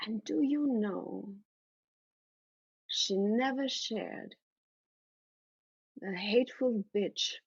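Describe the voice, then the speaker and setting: A woman's voice: on the high side, very slow, creaky, pauses often, slightly rough, no room sound, highs cut off, exaggerated voice. A woman voicing her elderly mother in a personal story, with a dramatic, sentimental, blunt manner. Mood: wistful, wry. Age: old woman. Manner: theatrical, sentimental, non-native phrasing.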